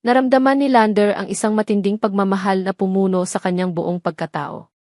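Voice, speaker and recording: A woman's voice: medium pitch, steady tone, a little fast, mellow, no room sound, studio quality. A woman reading a scripted story as the narrator of an audio drama.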